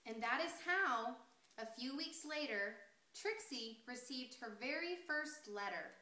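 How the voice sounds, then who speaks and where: A woman reads a children's story aloud in an expressive, deliberate way, speaking to young listeners. Her voice sits fairly high and has a bright, forward edge. The room adds a clear echo around her words. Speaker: storyteller reading a picture book aloud. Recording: roomy, echoey sound.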